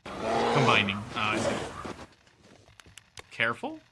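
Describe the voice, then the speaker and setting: A man's voice: medium pitch, big pitch swings, slow pace, very noisy. A man livestreaming a survival game with casual commentary, thinking aloud and chatting to viewers. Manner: thinks aloud, talks to chat.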